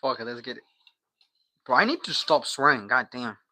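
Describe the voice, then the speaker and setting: Male livestreamer from Guatemala, Spanish native. Very sharp, bright tone, mid pitch, slangy and loose with swearing. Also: expressive, moderate pace. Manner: slangy, bro-heavy, swearing. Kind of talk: casual livestream chat.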